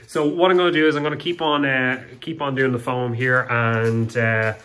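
A man's voice: mid-range voice, steady tone, moderate pace, a bit bright. An Irish-accented man in his thirties giving a casual walk-through as a home renovation vlogger, recorded on a phone in a bare, empty house. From Ireland. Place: empty unfurnished house under renovation, indoors. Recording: echoey bare room, clear background noise.

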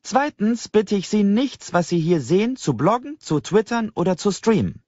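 A commanding young woman team leader, acted by a male audiobook narrator, speaks in a raised, high voice. She announces things briskly and in an organized way to a gathered crowd. The audio is slightly dull. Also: very animated, darkish tone, no echo. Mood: brisk, firm. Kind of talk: audiobook character voice.

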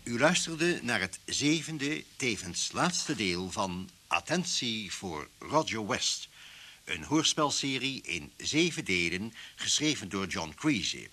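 Guy announcing radio play credits, formal, bright forceful voice, lively pitch. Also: a little hiss or hum. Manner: formal, measured. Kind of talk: scripted closing credits announcement.

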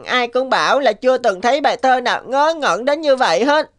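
A cheeky, high-voiced village child. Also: female voice, expressive, a little fast. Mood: frank. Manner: childlike, frank. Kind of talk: cartoon dubbing.

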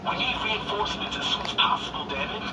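Actors voicing gruff police officers barking terse radio chatter during a car chase in a game, heard from the TV speakers. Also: mid-range voice, expressive, quick pace, roomy sound, recorded from a distance, lightly acted. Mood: urgent. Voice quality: terse, tough cop talk over radio. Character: gruff pursuing police officers, mixed crew. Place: living room, TV speakers. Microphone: phone camera filming the TV. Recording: game audio played from a TV, filmed from across a noisy room.

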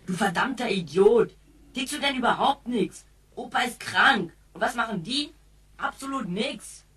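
An actress playing a frustrated, rebellious, foul-mouthed youngster in a science fiction radio play. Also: female voice, fairly high pitch, expressive, measured pace, a bit bright, recorded from a distance. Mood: indignant. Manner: indignant, snapping.

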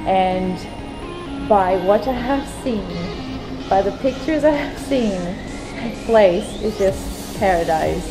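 A woman in her thirties filming a bubbly, excitable travel vlog on a handheld camera, laughing and exclaiming as she goes. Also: mid-range voice, slow, loud music behind the voice, poor audio. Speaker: vlogger. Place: outdoors and in resort rooms, on the move. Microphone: handheld action camera.

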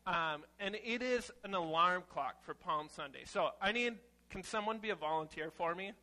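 A young man giving a playful, casual children's sermon in an animated, informal way, exclaiming as he goes. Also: high-pitched, normal speed. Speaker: children's message leader at church. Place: church sanctuary, seated on chancel steps among children.

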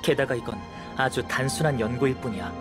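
A man's voice: mid-range voice, natural voice. Actor as a composed young male alchemist, music behind. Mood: composed, analytical. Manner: measured, composed, explanatory. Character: calm, analytical young male alchemist and researcher. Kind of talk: Korean game voice acting.

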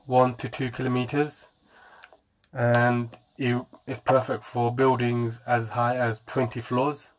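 A man casually demonstrating and reviewing a product, speaking haltingly as he lists features and repeats himself. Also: mid-range voice, no room sound, poor audio.

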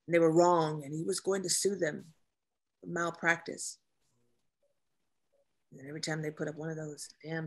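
A woman acting a grieving, guarded female naval officer and caretaker at a screenplay table reading, with measured, halting delivery. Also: low-pitched, vocal fry, a bit bright, husky, exaggerated voice.

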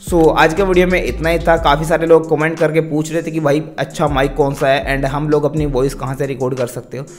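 A young man talks to his viewers like a tech vlogger giving advice. His voice sits a little higher than most men's. He speaks in an explanatory, direct way, with faint music behind him. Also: flat delivery, a little fast, few pauses. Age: young adult. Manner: instructional, addresses viewers repeatedly. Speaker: tech video creator and host. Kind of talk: direct-to-camera product advice vlog. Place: small decorated indoor room. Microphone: wired lavalier held in hand. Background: background music.

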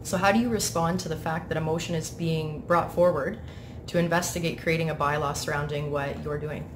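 A Canadian woman conducts an interview from prepared notes. Her voice sits quite low, with clear noise behind it. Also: fairly even intonation, fairly quick. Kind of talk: reading prepared questions.

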